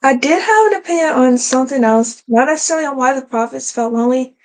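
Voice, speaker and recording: A woman's voice: high-pitched, fast. A woman giving her thoughts casually as a panelist in a Bible study discussion.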